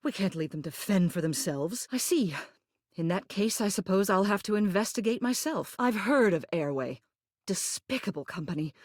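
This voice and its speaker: A dignified lady mayor from a video game, acted by a woman, in a mid-pitched voice recorded very dry. She speaks formally and with authority, in a genteel, protective way, using polished character delivery. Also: animated, moderate pace, darkish tone, no echo, natural voice. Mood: resolute, official. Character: dignified, protective woman mayor, an old living household object. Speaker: voice actor in a dating sim game.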